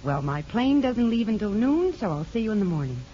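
An actress in a 1950s radio drama playing a frank, affectionate married sister with a tactful manner. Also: female voice, medium pitch, sing-song, fairly quick, dark tone, close to an everyday voice. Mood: tactful, concerned. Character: frank, teasing married sister, mother of twins. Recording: muffled, noisy old radio broadcast.

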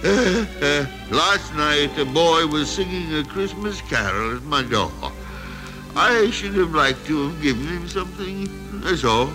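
Give this voice to a grumpy, fearful old miser in an old radio play. He speaks slowly with wide swings of pitch, muttering and gasping. Music plays loudly behind him. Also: animated, poor audio. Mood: sorrowful, regretful. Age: older. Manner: muttering, gasping, repeating words. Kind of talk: old-time radio drama acting.

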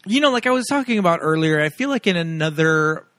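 A man's voice: a little high, animated, fast, a bit bright, no echo, clean studio sound. A man, a podcast host, telling stories in a chatty way with many fillers in a casual film chat.

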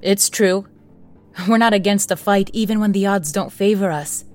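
An actress playing a steady, resolute leader with a measured, encouraging manner in an audio drama. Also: female voice, mid-range voice, faint music behind, natural voice. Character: steady, resolute female leader rallying her people. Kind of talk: audio drama acting, naturalistic dialogue.

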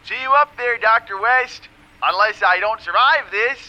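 A woman's voice: on the high side, normal speed, sharp tone, muffled recording. A woman playing a glum, anxious, fatalistic lab assistant in an audio drama, hesitant and resigned.

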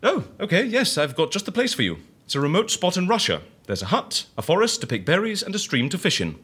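An actor playing a brisk, flamboyant fixer who stages fake deaths, pressing and exclamatory in a comedic audio drama. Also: male voice, high voice, big pitch swings, fairly bright tone, breathy edge, full character voice. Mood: breezy.

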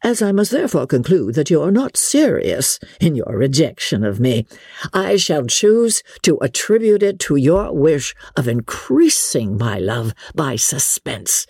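A woman's voice: low voice, big pitch swings, a little slow, mellow, husky, no echo, clean studio sound, exaggerated voice. A woman voicing a pompous, self-satisfied male suitor in a literary audiobook, long-winded and unctuous. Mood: smug, condescending.

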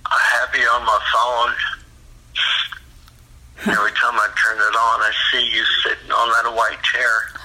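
A middle-aged or older man talking intimately and earnestly on a phone call, tender, pleading and halting, sounding choked up. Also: medium pitch, completely flat delivery, pushed and bright, slight background noise. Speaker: father talking with his adult daughter. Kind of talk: intimate, emotional phone conversation. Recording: phone call heard through a speaker.

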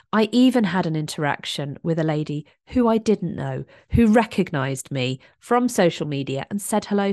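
A woman's voice: lowish voice, a little mellow, dry recording, studio quality. A woman in her thirties hosting a podcast, talking candidly and reflectively with a stuffy, congested voice from a chest infection and hay fever. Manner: candid and reflective, with frequent restarts. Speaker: podcast host. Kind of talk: casual solo podcast monologue.

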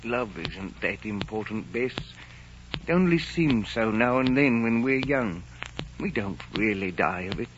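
Casting: stern, caring older innkeeper dad, 1940s radio melodrama, dull recording with faint noise. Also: male voice, lowish voice, expressive, measured pace. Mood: sorrowful, firm. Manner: earnest, paternal, firm. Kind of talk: 1940s radio drama acting.

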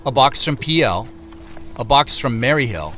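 Wry, lively-pitched man narrating. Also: moderate pace. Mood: wry, weary narration. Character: wry, beleaguered office man on the run. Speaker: actor narrating as main character. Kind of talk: first-person audio drama narration.

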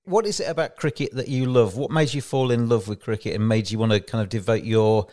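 A man's voice: mid-range voice, fairly quick, a little mellow. A man hosting a podcast, asking questions in a chatty, friendly way. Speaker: podcast host. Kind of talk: casual interview chat.

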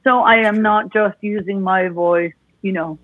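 A woman's voice: mid-range voice, fairly even intonation, unhurried, no room sound. A woman vocal coach as an interview guest, explaining expressively and demonstrating voices, talking with her hands. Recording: tinny phone-like dry sound.

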